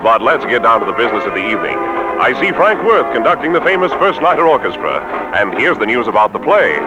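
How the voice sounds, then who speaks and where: An actor playing a genial, polished theatregoer who hosts and narrates a 1940s radio drama programme. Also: male voice, on the high side, highs cut off. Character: genial male theatregoer host guiding listeners to opening night. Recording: old 1940s radio broadcast.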